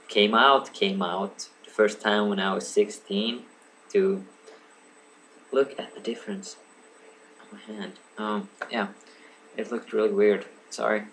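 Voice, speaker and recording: A man's voice: fairly low pitch, sharp tone, slight background noise, rough recording. A young man in his late teens, a native Swedish speaker, rambling casually to a webcam at home, full of ums, asides and apologies. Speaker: vlogger. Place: home room lit by a lamp.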